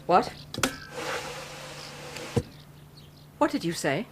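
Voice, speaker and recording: An actress playing a kind, self-possessed gentlewoman in a radio drama, genteel and soothing. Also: lowish voice, very animated, brisk, noisy background. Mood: concerned, composed.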